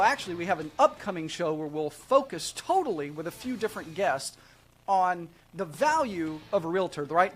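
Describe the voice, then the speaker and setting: A man hosting a live real estate show, chatting casually in an upbeat, salesman-like way and often checking in with "right?" tags. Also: high-pitched. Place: small studio set at a desk.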